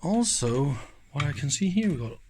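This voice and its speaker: A man giving casual, hands-on teardown commentary as a video host, informal and enthusiastic, exclaiming and thinking aloud, with a slightly husky voice. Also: a little high, very animated, moderate pace, a little mellow, slight background noise.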